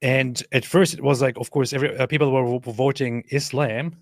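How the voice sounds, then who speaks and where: A man's voice: medium pitch, fairly even intonation, fairly quick, no room sound, clean studio sound. Man reading posts aloud, emphatic. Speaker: co-host reading and commenting on social media posts. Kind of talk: casual online commentary.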